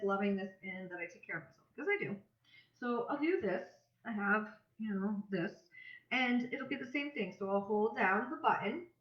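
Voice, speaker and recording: A woman giving a casual, chatty instructional talk as a business coach, in a conversational manner. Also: mid-range voice. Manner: chatty, conversational, acts out example messages. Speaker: business coach presenting a tutorial. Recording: roomy echo, slightly dull audio.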